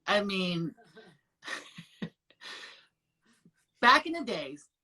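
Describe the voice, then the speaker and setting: A man's voice: high voice, big pitch swings, drawn-out words, pushed and bright. A man from Taiwan hosting a casual interview, chatting and sharing his own stories. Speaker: host.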